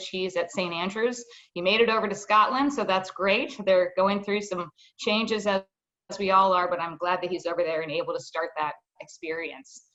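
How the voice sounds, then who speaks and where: A middle-aged woman giving a professional self-introduction as a panelist, in articulate, measured phrases. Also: fairly low pitch, a bit bright. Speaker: panelist, nonprofit chief executive. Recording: slightly dull online call audio.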